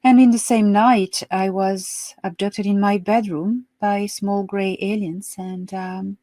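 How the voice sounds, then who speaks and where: A woman from France with a French accent, giving a hesitant, soft-spoken account as a guest on a video call. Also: medium pitch, fairly even intonation, slow pace, no room sound. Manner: soft-spoken, hesitant with many ums, laughs easily. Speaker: interview guest. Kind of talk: remote interview, storytelling.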